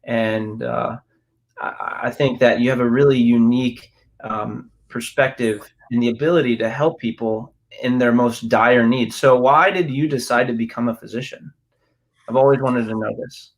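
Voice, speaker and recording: A man's voice: mid-range voice, steady tone, moderate pace, gravelly. A young man interviewing in a casual, conversational style. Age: young adult. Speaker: interviewer, medical school applicant.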